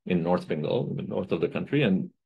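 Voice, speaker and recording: A man's voice: sits low, expressive, fast talker, gravelly, phone-quality sound. A middle-aged man from Bangladesh giving an academic talk over a video call, lecturing casually with many "right?" checks and self-corrections. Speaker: economics researcher giving a webinar talk.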